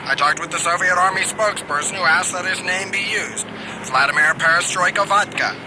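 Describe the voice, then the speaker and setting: A man playing an earnest, deadpan news correspondent in a satirical radio play. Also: sits high, brisk, natural voice. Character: earnest male field correspondent in a spoof news bulletin. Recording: noisy outdoor street ambience with vehicles.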